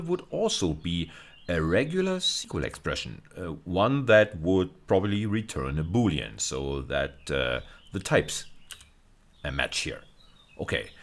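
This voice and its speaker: A middle-aged man giving a lecture as a university teacher, explaining step by step in a measured way with hesitant pauses. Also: sits low. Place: indoors, recorded video lecture.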